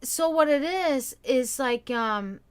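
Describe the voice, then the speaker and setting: A woman's voice: high-pitched, lively intonation, clean tone. A tired woman with a Serbian accent, chatting casually with viewers on a livestream, rambling and restarting her sentences. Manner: rambling, halting, with many restarts and fillers. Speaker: livestream host. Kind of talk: casual chat with stream viewers.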